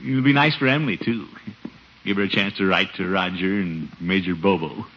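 An actor playing a pompous, put-upon middle-aged uncle in a 1950s radio comedy, blustering and muttering asides. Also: male voice, sits low, moderate pace, close to an everyday voice. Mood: coaxing. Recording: noisy, dull 1950s radio recording.

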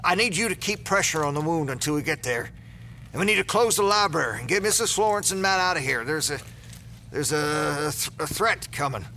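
Male actor as earnest sheriff, high voice, animated swings, urgent, protective, audio drama. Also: fairly quick, a little hiss or hum. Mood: tense. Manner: urgent, stammering under stress.

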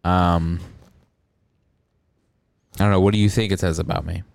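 A young man in casual podcast banter, joking at his own expense as he rambles on. His voice sits a little low and comes across smooth and clear. The sound is close and dry. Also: little pitch movement, moderate pace, no room sound. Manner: self-deprecating, rambling, joking. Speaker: podcast co-host. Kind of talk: casual comedic chat.

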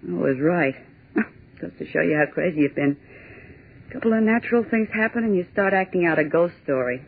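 A woman's voice: fairly low pitch, lively intonation, creaky, slightly rough, heavily acted. An actress playing a brash, sarcastic young woman typist in a 1940s radio drama, with a scoffing, bossy way of talking. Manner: scoffing, bossy, quick retorts. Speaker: office typist. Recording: tinny 1940s radio broadcast.

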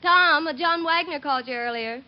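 An actress playing a cheerful, affectionate young wife in 1950s radio drama style. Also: female voice, sits high, fairly quick, lightly acted. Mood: curious. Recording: dull old radio broadcast.

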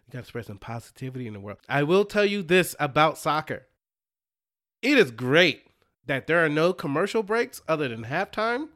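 A man's voice: fairly high pitch, very animated, normal speed, fairly bright tone. A man co-hosting a podcast, chatting casually about sports, laughing often and restarting his sentences. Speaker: podcast co-host.

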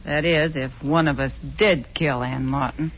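An actress playing a tough, bossy, wary murder suspect in curt 1940s radio style, on a muffled old recording. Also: female voice, low-pitched, fairly even intonation, a little slow. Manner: curt, hard-boiled.

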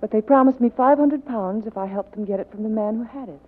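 An actress playing a seductive, evasive femme fatale with a coaxing, dramatic manner in a 1940s radio drama. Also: female voice, a little high, fast, natural voice. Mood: coy, evasive. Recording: tinny vintage 1940s radio recording.

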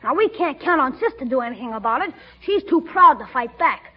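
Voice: high voice, animated, a little fast. A performer playing a brash, wisecracking young nephew who schemes, in a 1940s radio comedy. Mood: scheming. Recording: muffled old radio broadcast, audience.

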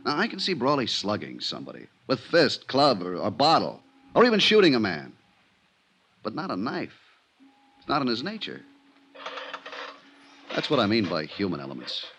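A man acting a weary, level-headed American police inspector in a 1950s radio drama, measured and reflective. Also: lowish voice, wildly swooping pitch, gravelly, fairly bright tone, husky, full character voice. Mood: persuasive, emphatic. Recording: noisy 1950s radio recording.

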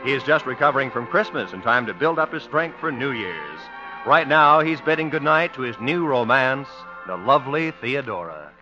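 A male announcer narrates in 1950s radio style. His delivery is lively and varied, with music playing behind him. The recording is a dull vintage broadcast. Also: mid-range voice, moderate pace.

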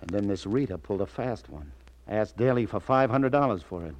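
A man's voice: on the low side, lively intonation, brisk, darkish tone, lightly acted. An American man playing a world-weary but sympathetic police lieutenant in a 1940s radio crime drama, with a clipped, wry, musing delivery. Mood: probing. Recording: old radio broadcast, faint music.

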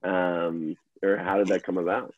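Deep-voiced male interviewer, husky, heard over a thin phone-like line, hesitant with fillers. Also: little pitch movement, measured pace. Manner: hesitant questions with fillers, short affirmations. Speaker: podcast interviewer. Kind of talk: casual interview questions.

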